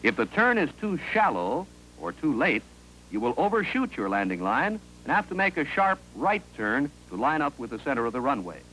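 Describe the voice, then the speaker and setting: Lively American man narrating a 1950s training film. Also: mid-range voice, normal speed. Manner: clear, instructive, authoritative. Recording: noisy, humming 1950s film soundtrack.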